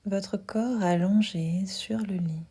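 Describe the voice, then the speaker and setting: A woman guiding a sleep meditation in a soft, half-whispered voice, calm and soothing, leaving pauses between her instructions. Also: medium pitch, breathy edge. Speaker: yoga nidra guide.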